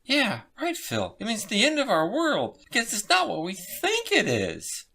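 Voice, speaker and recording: Woman voicing an urgent, nervous male scientist, raised pitch. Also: huge pitch swings, a little slow, vocal fry, sharp tone, heavily acted. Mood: alarmed, raving. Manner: emphatic, alarmed warning. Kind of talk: storybook character reading.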